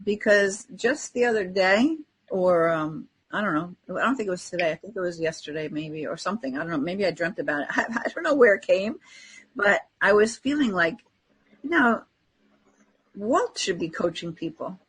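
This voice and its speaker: A woman's voice: on the low side, lively intonation, normal speed, fairly bright tone, slightly rough, low-quality recording. A woman talking in an encouraging, affirming way, as in spiritual readings and casual talk show chat. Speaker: spiritual guest co-host giving card readings.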